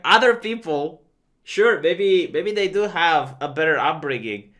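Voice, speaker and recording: Guy ranting on his channel, bright sharp voice, lots of uhs and ums. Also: on the high side. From Romania. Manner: conversational and opinionated, many fillers. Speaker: video commentator. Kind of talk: opinionated commentary vlog.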